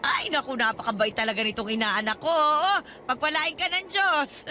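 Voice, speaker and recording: A woman's voice: sits high, moderate pace, pushed and bright. An actress playing a pushy, freeloading older godmother in a radio drama, with a wheedling, theatrical delivery. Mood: cajoling, sweet. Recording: music bed behind the voice.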